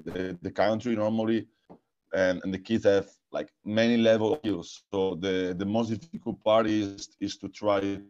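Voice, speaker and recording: A man with an Italian accent, a guest answering interview questions, speaking haltingly with many repeated words and restarts. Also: lowish voice, darkish tone, muffled recording. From Italy. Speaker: guest, futsal coach and technical director.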